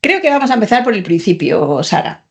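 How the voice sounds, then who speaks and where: A woman's voice: medium pitch, very animated, brisk. A woman hosting a medical podcast, chatty and friendly, rephrasing her questions as she goes. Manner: chatty, laughs often, asks and rephrases questions. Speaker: podcast host. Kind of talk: friendly interview.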